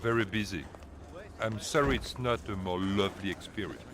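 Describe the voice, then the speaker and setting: A man playing a chatty, gossipy French opera house worker in a video game, with a French accent and halting English. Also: on the low side, a crowd in the background, close to an everyday voice. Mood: chatty, insinuating. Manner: halting foreigner's English, insinuating. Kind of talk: scripted video game dialogue.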